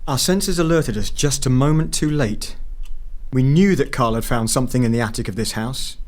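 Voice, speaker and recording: A cold, otherworldly investigator played by a man in an audio drama. He speaks at a medium pitch, brusque and commanding, with no trace of humour. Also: moderate pace, close to an everyday voice. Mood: urgent, stern.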